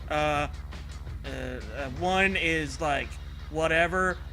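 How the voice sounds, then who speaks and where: A man's voice: fairly high pitch, slow, over music. A man co-hosting a comedy show with sardonic, sweary, casual riffing. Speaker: co-host commenting on the story.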